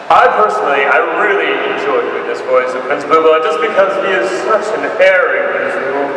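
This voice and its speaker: A man's voice: on the high side, animated, brisk, background noise, close to an everyday voice. A man voicing an airy, snobbish young unicorn pony prince, playing it up as a prissy cartoon noble. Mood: airy, haughty. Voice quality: airy, breathy put-on voice. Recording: echoey hall, from far off.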